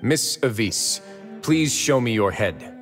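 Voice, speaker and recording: An actor playing a calm, commanding duke in a video game, protective and wry, with a measured, dry, authoritative manner. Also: male voice, mid-range voice, faint music behind. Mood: firm resolve. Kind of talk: dramatic video game voice acting.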